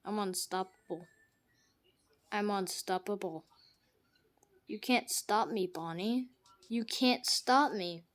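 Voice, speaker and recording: A woman giving jokey gameplay commentary, trash-talking the game characters and swinging from hushed mutters to sudden loud outbursts. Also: medium pitch, slow, pauses often. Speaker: gamer.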